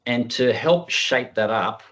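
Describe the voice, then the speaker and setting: A man's voice: medium pitch, animated, takes it slowly, fairly bright tone. A man giving an informal online presentation as a workshop presenter, in a conversational, self-deprecating way. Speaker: workshop presenter, working group chair. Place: online video call.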